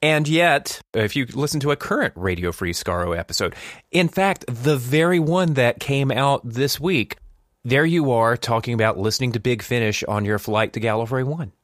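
A man hosting a podcast in a conversational style, musing in a measured way with deliberate pauses. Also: medium pitch, no room sound, clean studio sound. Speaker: podcast host.

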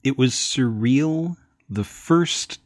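A man's voice: mid-range voice, expressive, extremely slow, no echo, clean studio sound. A man chatting casually as a playwright guest on a panel, self-deprecating and hesitant to interject. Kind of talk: casual chat.

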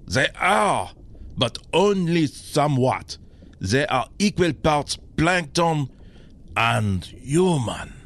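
An actor playing a grave, learned French professor with a French accent in an audio drama. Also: male voice, a little high, very animated. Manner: slow, measured, grave.

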